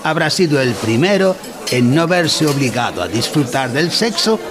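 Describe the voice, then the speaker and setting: Radio play: solemn, didactic male lecturer, mock-educational, highly animated intonation, crowd audible behind. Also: moderate pace. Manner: formal, didactic, solemn wording. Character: pompous male instructor of a mock-educational course. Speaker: narrator in an audio drama.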